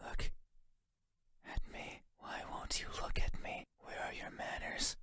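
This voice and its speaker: A man voicing a lonely, faceless horror game entity, menacing and mournful, in a breathy whisper with a slow, halting, intimate delivery. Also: natural voice. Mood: pleading, eerie. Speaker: voice actor.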